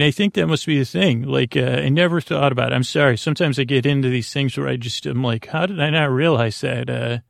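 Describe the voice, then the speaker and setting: Male podcast host, mid pitch, heavy vocal fry, dry close recording; meandering, halting comedic bedtime delivery. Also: no echo. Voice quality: creaky, gravelly. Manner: meandering, halting, absurd tangents told conversationally. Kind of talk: rambling comedic bedtime monologue.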